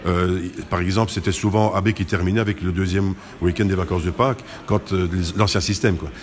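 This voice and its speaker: A man's voice: sits low, fairly even intonation, a little fast. A man answering interview questions as a committee president, explaining in detail and often correcting himself.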